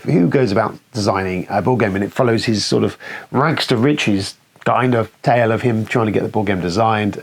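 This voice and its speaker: A man's voice: lowish voice, creaky. A British man hosting a board game video, commenting in a casual, rambling, sweary and self-deprecating way. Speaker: board game review show host.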